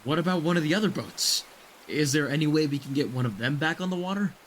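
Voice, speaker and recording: A man's voice: a little high, quick pace, fairly bright tone, a little hiss or hum, close to an everyday voice. An actor playing an earnest, determined man who insists on pressing ahead, in an audio drama.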